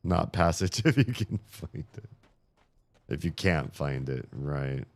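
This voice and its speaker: Low slow gravelly guy streaming a puzzle game, voice going hoarse, sarcastic grumbling, dry close mic. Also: animated, dry recording. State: losing his voice. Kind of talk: casual live-stream commentary.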